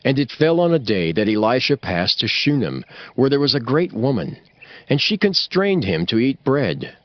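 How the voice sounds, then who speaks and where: Solemn male scripture narrator, lively pitch. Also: moderate pace, no echo, muffled recording. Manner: formal, solemn storytelling.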